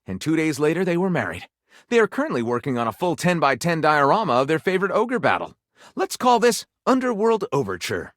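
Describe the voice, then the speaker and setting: An actor playing a theatrical, wry male storyteller in a game, narrating tales dramatically and savouring each title with pauses. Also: on the high side, fairly bright tone, no echo, studio quality.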